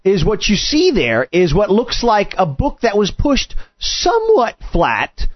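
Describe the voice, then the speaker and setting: High-voiced man, lively and probing. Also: a little slow, dry recording. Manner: probing, interrupting. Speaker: host questioning a guest. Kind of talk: conversational interview debate. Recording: slightly dull, lo-fi sound.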